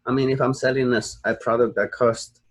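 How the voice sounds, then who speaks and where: A man's voice: mid-range voice, flat delivery, a little fast, slightly rough. A young man from the United States explains a screen-recorded tutorial, rambling through fillers and restarts and checking in with "okay?" as he goes. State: sleepy. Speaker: online marketing tutor.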